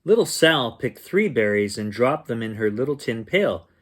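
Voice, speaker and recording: A man reads a children's bedtime story in a husky voice. His pitch moves around a lot, giving the storybook narration an expressive, playful shape. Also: normal speed. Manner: expressive, acts out sounds and dialogue. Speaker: storyteller reading a children's picture book. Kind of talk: animated bedtime story reading with playful asides.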